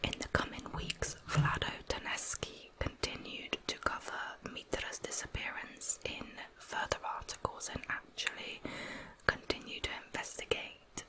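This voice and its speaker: A woman narrating a true crime case as ASMR in a breathy, unvoiced whisper, with soft, careful delivery. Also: measured pace, rough recording. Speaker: ASMR true crime storyteller, channel host.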